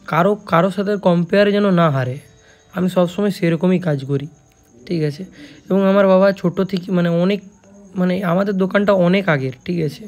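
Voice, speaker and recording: A man's voice: sits high, a little mellow. A young man with a West Bengal accent chats casually to viewers in a vlog, explaining things with frequent check-in tags and restarted phrases. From West Bengal, India. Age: young adult. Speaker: speaker repair shop vlogger. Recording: clearly noisy phone vlog recording.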